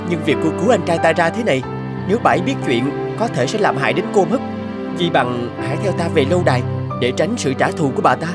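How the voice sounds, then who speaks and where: A man plays a brave young prince in a cartoon fairy tale. He is dutiful, earnest and polite, with clear music behind him. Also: a little high, lively intonation, quick pace, natural voice. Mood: grateful.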